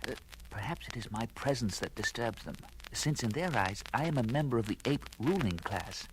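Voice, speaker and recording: An actor playing a learned, courteous ape scientist on the run in a 1970s audio adventure, with erudite, hesitant, formal speech. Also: male voice, medium pitch, expressive, moderate pace, noisy background, lightly acted. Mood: thoughtful. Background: dramatic music.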